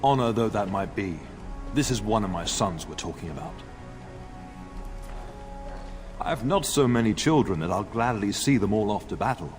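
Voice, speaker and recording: A man's voice: on the low side, animated, brisk, faint music behind, lightly acted. An actor playing a middle-aged nobleman and father in a fantasy game, speaking in a stately, assured, fatherly way. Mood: firm, protective. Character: grey-haired noble father, warm, authoritative lord. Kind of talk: scripted fantasy game dialogue.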